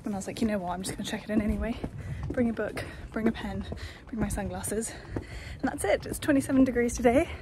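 A woman's voice: a little high, fast talker, few pauses, noisy background. A young woman, chatting candidly to her phone camera as she walks through a house and down a street. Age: young adult. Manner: chatty, candid, talks while walking around. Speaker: vlogger. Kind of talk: casual vlog narration. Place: empty house indoors, then outdoors on a street. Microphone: phone at arm's length.

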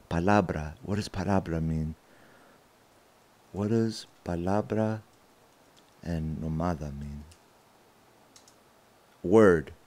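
A man in his thirties talking with his stream chat and freestyle rapping into a handheld mic in a small bedroom studio, chatty and quick with rhymes. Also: sits low, a little mellow. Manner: chatty, self-correcting, rhymes fast over a beat. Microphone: handheld mic held close. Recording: hip hop beat playing underneath. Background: hip hop beat.

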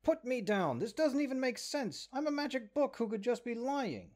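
A man's voice: very high-pitched, very animated, normal speed, darkish tone. A man voicing a haughty, exasperated magic book in a comedic audio roleplay, condescending and sarcastic. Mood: panicked, indignant. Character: exasperated, haughty talking cursed grimoire, a sentient magic book.

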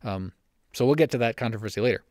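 A young man hosting a solo church-history podcast from home, slightly raspy and talking fast with frequent self-corrections. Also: medium pitch, a little mellow, no room sound. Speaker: podcast host. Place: home room.

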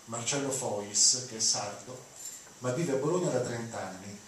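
A man's voice: medium pitch, moderate pace, fairly bright tone. An older man from Rome giving an informal lecture, conversational and digressive, seated on stage with a handheld mic. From Rome, Italy. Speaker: lecturer introducing readings. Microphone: handheld mic, recorded from audience. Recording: echoey hall, distant mic, noisy.

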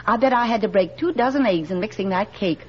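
A woman's voice: mid-range voice, fast talker, slightly rough, close to an everyday voice. An actress playing a doting, chatty middle-aged small-town mother in 1940s radio style, folksy and deferential. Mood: apologetic, flustered. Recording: dull 1940s radio recording.

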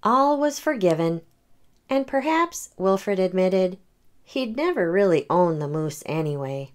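A woman hosting a children's read-aloud, narrating a picture book in an expressive storytelling manner. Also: lowish voice, unhurried.

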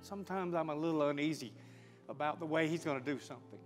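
Male pastor, middle-aged, high voice, fervent sermon and prayer, long church-hall reverb. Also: fast talker. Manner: fervent, building to loud praying. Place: large church sanctuary with congregation. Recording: church hall with music behind.

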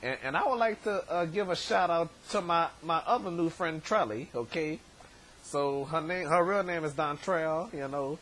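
A man in his thirties, hoarse from shouting, hosting a casual vlog from his bedroom on a webcam, rambling with many stammered repeats. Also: fairly high pitch, normal speed, slight background noise. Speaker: show host. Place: bedroom, indoors. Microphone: webcam at desk distance.

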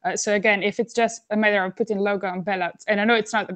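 A woman's voice: mid-range voice, fairly even intonation, quick pace, a bit bright. Earnest young woman on a webcam call. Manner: earnest, thoughtful, argues a point. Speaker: webinar panelist. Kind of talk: casual discussion. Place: indoor room. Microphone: video call webcam.

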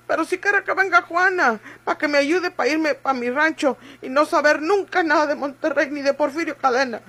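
A frightened country woman pleads in a high voice. Her speech is plaintive and rustic, with a Mexican accent, in old radio soap style. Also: moderate pace, pushed and bright. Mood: pleading, earnest. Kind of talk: Mexican golden-age radio soap acting.

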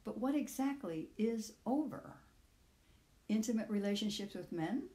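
An older woman in her sixties or beyond reads her own reflective personal essay aloud in a measured, literary way, with deliberate phrasing. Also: medium pitch, expressive, warm tone, echoey room. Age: 60s or older. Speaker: author reading own audio essay.

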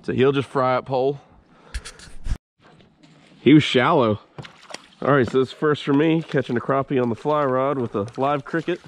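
A man in a kayak talks his way through a day of fishing in a loose, chatty manner and swears freely. His voice sits at an ordinary middle pitch. It is captured outdoors on a head-mounted camera with a faint hiss of ambient noise behind him. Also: normal speed, long pauses. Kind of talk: casual narration while fishing. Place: outdoors in a kayak on a swampy creek. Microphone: head-mounted action camera.

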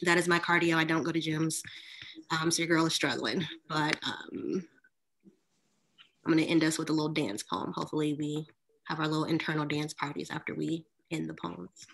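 A woman's voice: sits low, level tone, moderate pace, gravelly, fairly bright tone. A woman in her thirties giving a poetry reading with casual asides, recorded at home over a video call. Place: room at home with bookshelves, indoors.

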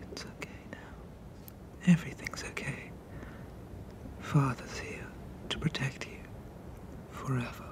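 A tender sea monster father, played by an ASMR performer whispers to a child in a breathy hush. The delivery is extremely slow and soothing, doting and possessive, in the manner of an intimate roleplay. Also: mid-range voice, natural voice. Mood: doting, protective. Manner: soothing, hushing, slow and deliberate.

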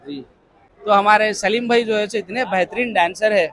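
A man's voice: high voice, fairly even intonation, a bit bright. Joking Hyderabadi man vlogging a busy expo. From Hyderabad, India. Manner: jokey, teasing, quick to laugh. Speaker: vlogger host. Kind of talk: casual vlog chat. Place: crowded indoor expo hall and outdoor food stall. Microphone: handheld mic.

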